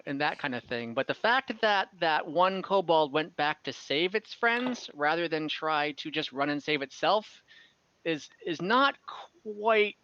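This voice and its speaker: A man's voice: fairly high pitch, sharp tone, clear, resonant tone, muffled recording. A man improvising narration as game master of a tabletop fantasy game, rambling and often stopping to correct himself. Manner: halting, rambling, self-correcting. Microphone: online voice call, close microphone.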